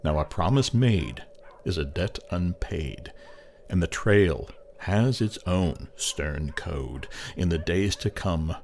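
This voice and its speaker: A man narrating a poem as a dramatic recitation, theatrical and measured, with long pauses. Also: deep voice, animated, a little mellow, a little hiss or hum.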